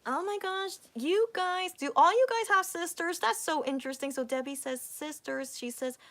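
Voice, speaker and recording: A woman's voice: very high voice, normal speed, fairly bright tone. Chatty woman in her thirties. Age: 30s. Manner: rambling, many restarts and fillers, drops to whispers. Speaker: livestream host. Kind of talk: casual chat with viewers.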